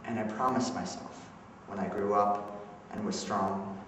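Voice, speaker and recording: A man playing a dreamy, melancholy young farm man in a hushed, halting stage monologue. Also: on the low side, fairly even intonation, pitched below the performer's own voice. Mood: wistful, dreamy. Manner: hushed, pensive, halting delivery. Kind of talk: theatrical dramatic monologue. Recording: echoey theater recording from far off, noisy.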